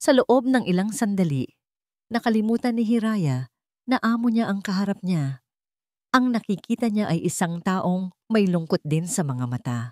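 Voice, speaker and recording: Woman narrator, dramatic romance storytelling, measured, lively intonation, dry sound. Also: mid-range voice, no echo.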